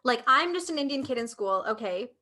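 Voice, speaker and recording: Woman, Canadian accent, young adult, casual podcast co-host, filler-heavy, higher pitch. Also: expressive, fairly quick, sharp tone. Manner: thoughtful, filler-heavy, many "like"s. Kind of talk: casual conversation.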